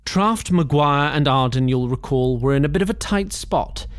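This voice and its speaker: A man's voice: fairly high pitch, expressive, normal speed, no room sound. A man narrating an audio drama in a dry, wry storytelling manner.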